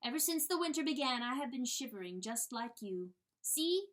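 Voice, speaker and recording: A woman's voice: sits high, expressive, moderate pace. A woman reading a storybook, voicing a kind rooster lord who speaks in a soothing, earnest way. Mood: tender. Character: kind, gentle rooster lord, tender and reassuring.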